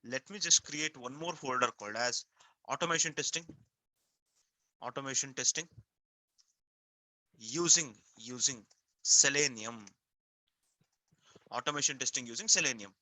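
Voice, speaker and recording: Bright-voiced Indian man teaching online. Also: medium pitch, normal speed, pauses often, no room sound, low-quality recording. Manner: repetitive, prompting listeners with frequent questions. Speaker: software testing instructor. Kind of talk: live online lecture, checking in with students.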